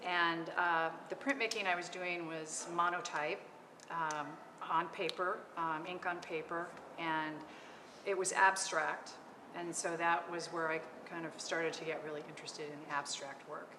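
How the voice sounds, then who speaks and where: A middle-aged woman from California, an artist on a panel, talking about her work with many hesitant pauses. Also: lowish voice, fairly even intonation. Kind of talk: unscripted panel talk. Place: art gallery. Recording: echoey gallery with long reverb and noise.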